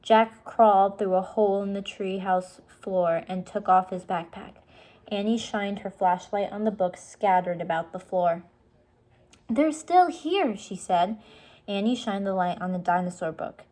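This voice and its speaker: A woman's voice: mid-range voice, steady tone, moderate pace, darkish tone. A woman reading a children's storybook aloud, in simple, explanatory style for young listeners.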